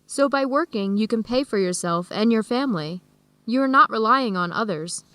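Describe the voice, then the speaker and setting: An actress playing a friendly, even-tempered American woman who explains things in a measured way in an educational radio drama. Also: on the high side, no room sound. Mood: thoughtful. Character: friendly American woman hosting Ethiopian friends, easygoing, tolerant. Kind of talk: educational radio drama dialogue, clearly worded for learners.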